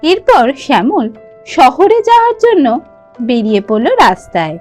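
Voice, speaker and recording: A woman's voice: high voice, huge pitch swings, moderate pace, a little mellow. Female storyteller, scripted cartoon narration, faint music behind.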